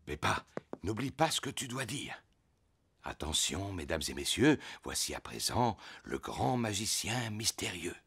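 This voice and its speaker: Deep, theatrical cartoon pig dad. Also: male voice, sing-song, unhurried, vocal fry, fairly bright tone, slightly rough, full character voice. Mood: playfully theatrical. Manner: theatrical, playfully grand delivery. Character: jolly adult male pig father playing magician. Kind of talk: children's cartoon dub.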